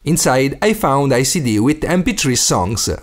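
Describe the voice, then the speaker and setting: Lively Italian-accented man narrating. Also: mid-range voice, normal speed. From Italy. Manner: non-native English phrasing. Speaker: DIY video maker and host. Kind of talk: scripted tutorial voice-over.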